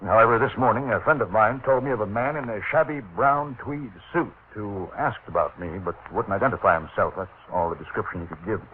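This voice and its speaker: A man's voice: fairly low pitch, expressive, brisk, few pauses, a little hiss or hum, lightly acted. An American actor playing an anxious, hesitant businessman who fears for his life, in 1940s radio drama style. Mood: worried. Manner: hesitant, earnest. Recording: muffled, tinny 1940s radio recording.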